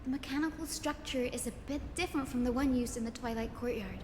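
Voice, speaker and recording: A woman playing a gentle, careful young companion in a video game, speaking in a hesitant, explanatory way. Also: sits high, fast talker, a crowd in the background, lightly acted. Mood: thoughtful, hesitant. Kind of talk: scripted video game dialogue. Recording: game music behind the voice.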